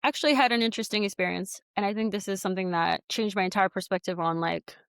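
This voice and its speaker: A woman's voice: medium pitch, fast talker, creaky, smooth, dry recording. American woman, spanish her first language, chatty interview guest, lots of likes, dry close sound. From United States. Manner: chatty and animated, many likes and you-knows. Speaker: guest, studio founder and CEO. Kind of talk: casual conversational interview.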